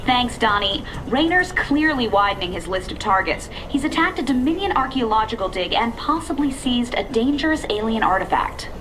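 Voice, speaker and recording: An actress playing an earnest TV field reporter in a game cinematic, brisk and matter-of-fact. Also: female voice, fairly high pitch, expressive, background noise, lightly acted. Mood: businesslike. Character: earnest, composed female television field reporter.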